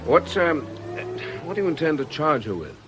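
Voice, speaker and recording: Earnest British vicar with lively intonation. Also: male voice, on the high side, moderate pace. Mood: bewildered, protesting. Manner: polite, hesitant, questioning. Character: earnest, puzzled male English country vicar. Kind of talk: British radio drama acting. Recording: noisy old radio drama recording.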